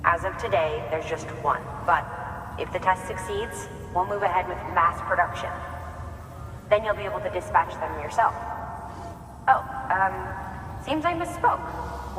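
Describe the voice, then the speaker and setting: A calm young actress as a woman engineer in a game cutscene, explaining things matter-of-factly. Her voice rings in a reverberant hall, with music underneath. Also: medium pitch, lightly acted. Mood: casual, apologetic. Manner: matter-of-fact, instructional. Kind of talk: naturalistic video game cutscene acting. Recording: echoey hall, music behind.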